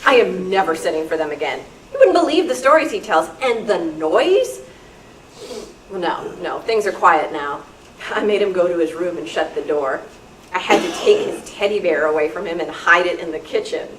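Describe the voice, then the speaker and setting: A woman playing an exasperated young babysitter growing frightened in the dark, in an amateur radio thriller. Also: lowish voice, expressive, moderate pace, natural voice. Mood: exasperated, chatty. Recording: echoey room, distant mic, background noise.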